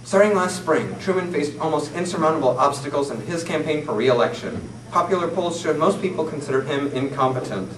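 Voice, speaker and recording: A teenage boy reading a mock 1940s newscast from paper at a classroom table, in a formal, steady manner. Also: a little high, brisk, hardly any pauses, crowd noise. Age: teens. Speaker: student newsreader. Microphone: far camera mic. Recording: roomy, distant camera audio with faint hiss.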